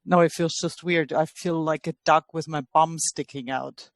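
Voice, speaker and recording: A woman's voice: low-pitched, steady tone, moderate pace, no room sound. Woman from Austria, enthusiastic webinar question, dry sound. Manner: enthusiastic, chatty, self-mocking. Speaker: participant asking a question.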